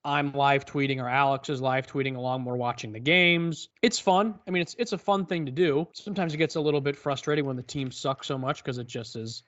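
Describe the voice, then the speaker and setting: Brief: mid-pitched male comic actor, loose and rambling, sarcastic jokes, dull lo-fi sound. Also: a little fast, few pauses, a bit bright. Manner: joking, self-deprecating, rambling. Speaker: podcast guest, actor and comedian. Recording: dull lo-fi call audio.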